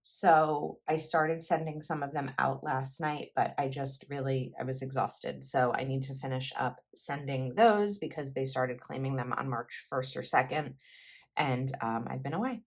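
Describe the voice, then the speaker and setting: A woman's voice: extremely low pitch, unhurried, gravelly. A young woman on her own channel, chatting casually as she fills in her planner. Her voice is a little froggy from a lot of talking, and she rambles, stopping often to correct herself. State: slightly froggy voice from heavy talking. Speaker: planner YouTuber. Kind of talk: casual planner vlog chat.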